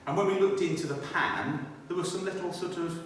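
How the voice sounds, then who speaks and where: A man's voice: sits high, brisk, fairly bright tone. A British man giving a personal testimony and scripture reading in church, speaking in a reflective, somewhat hesitant way. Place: inside a church. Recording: echoey church hall, distant, faint noise.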